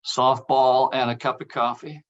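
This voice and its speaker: A man's voice: mid-range voice, flat delivery, a little slow, fairly bright tone, highs cut off, natural voice. A man playing a brash, teasing buddy in a comedy table read. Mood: intrigued. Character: brash, teasing male buddy, inappropriate but quick to cover.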